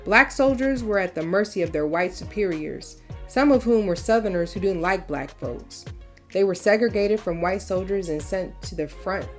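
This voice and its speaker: A woman's voice: medium pitch, expressive, moderate pace, lightly acted. Woman reading as a folksy, proud mother over music. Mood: reflective, earnest. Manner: conversational, emphatic storytelling. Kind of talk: storybook read-aloud, first-person narration.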